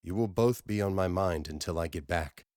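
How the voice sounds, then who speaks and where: Man as a steady, low-voiced mission leader. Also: moderate pace, dry recording, studio quality, natural voice. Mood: dry. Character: steady male space-mission leader, dry and commanding.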